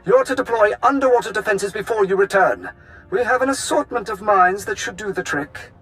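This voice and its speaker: High-voiced, formal Imperial colonel. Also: male voice, lively intonation, fairly quick, a bit bright. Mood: businesslike. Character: brisk, officious male Imperial colonel, dutiful and stern. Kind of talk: video game cutscene acting. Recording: music behind the voice.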